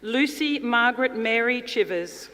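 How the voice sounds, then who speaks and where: A woman formally announcing graduates' names at a ceremony, in a measured, deliberate delivery. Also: a little high, expressive. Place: large indoor ceremony hall. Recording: reverberant hall, audience noise, clear background noise. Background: audience crowd noise.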